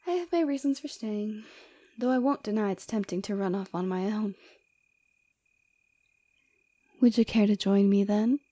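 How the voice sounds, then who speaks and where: A woman playing a flirty, forward villain and rival warrior in an ASMR roleplay, teasing and coy with a breathy voice, close to the microphone. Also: medium pitch, fairly quick, warm tone, close to an everyday voice. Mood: candid, inviting. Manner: teasing, coy, seductive, often dropping to breathy whispers.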